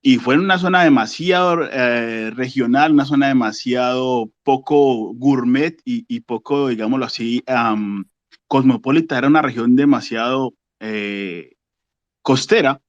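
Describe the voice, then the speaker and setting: A man's voice: medium pitch, dry recording. Thoughtful Colombian man in his forties, lo-fi. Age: 40s. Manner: slow, thoughtful, pausing with fillers. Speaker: podcast guest. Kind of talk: casual podcast chat.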